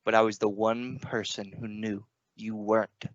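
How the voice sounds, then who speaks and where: A man playing a suspicious, menacing stepfather in an old-time radio suspense play, speaking in a deliberate, insistent way. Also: lowish voice, little pitch movement, no echo, highs cut off, natural voice. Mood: accusing, menacing. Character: sinister, suspicious murderous stepfather, smugly menacing.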